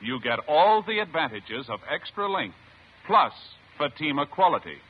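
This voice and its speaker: A man's voice: a little high, sing-song, normal speed, husky. A man reading a 1950s radio commercial as an announcer, with an emphatic sales delivery. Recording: muffled vintage radio recording.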